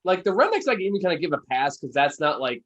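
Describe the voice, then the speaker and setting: A man's voice: a little high, sing-song, fast talker, a bit bright, dull audio. A man co-hosting a casual movie review, speaking informally with an opinionated, sardonic delivery. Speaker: film reviewer and podcast co-host.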